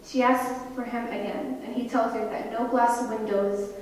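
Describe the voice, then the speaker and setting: A woman's voice: a little high, fairly even intonation, normal speed, darkish tone, recorded from a distance. Impassioned young woman in an echoey hall. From United States. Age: young adult. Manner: impassioned, rhetorical questions. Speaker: student speaker. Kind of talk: prepared speech at a campus event. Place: university hall.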